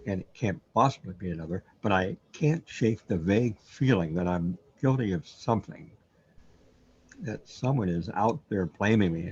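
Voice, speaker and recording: A man's voice: low voice, darkish tone, husky, heavily acted. An actor playing a troubled, self-doubting man trapped alone in a surreal universe, brooding and questioning in a sci-fi radio drama. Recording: dull, lo-fi audio.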